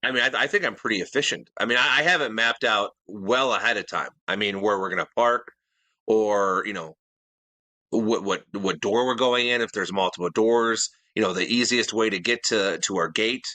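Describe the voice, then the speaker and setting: Middle-aged guy cohosting a podcast, low-ish bright voice, keeps restarting, dry mic. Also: normal speed, no echo. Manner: earnest, detail-minded, with frequent restarts. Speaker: podcast co-host. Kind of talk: casual chat.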